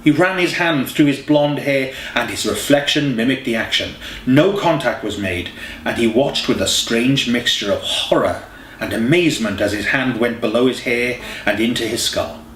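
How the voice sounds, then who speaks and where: A man's voice: mid-range voice, a bit bright, slight background noise. Man narrating an audiobook in an echoey room. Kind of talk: scripted story narration. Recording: roomy, echoey home recording.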